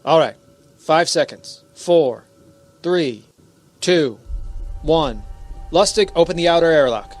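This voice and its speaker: A man's voice: fairly high pitch, lively intonation, takes it slowly, pauses often, faint music behind. Firm, commanding spaceship captain played by a man. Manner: commanding, clipped orders. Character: firm, cautious male spaceship captain commanding a landing party. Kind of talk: science fiction radio drama acting.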